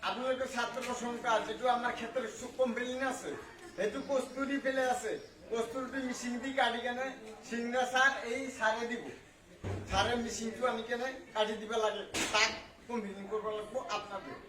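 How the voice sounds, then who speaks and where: A man acts out a bumbling, self-important village politician in a homemade comedy skit. His voice-changed speech is extremely high, halting and bombastic. It is picked up from a distance in an echoey space with noise behind it. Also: little pitch movement. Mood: self-important, placating. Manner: halting, bombastic, garbled broken English mixed in. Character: bumbling, blustering male village politician promising favours. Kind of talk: amateur comic skit speech. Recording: echoey, distant pickup with clear background noise.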